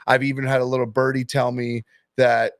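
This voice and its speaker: A young man hosting a casual podcast, hyping up matches and sponsors with excitable energy. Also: mid-range voice, fairly quick, vocal fry, no room sound, studio quality. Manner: hyped, excitable, promotional. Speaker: podcast host and arm wrestling promoter.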